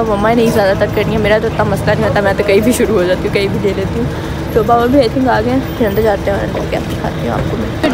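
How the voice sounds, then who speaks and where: A young adult woman with a Pakistani accent chatting casually for a vlog, mixing in English phrases. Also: fairly high pitch. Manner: code-switches with English phrases. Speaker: vlogger. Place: home, street, mall and grocery store. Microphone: phone at arm's length. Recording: noisy handheld phone vlog recording.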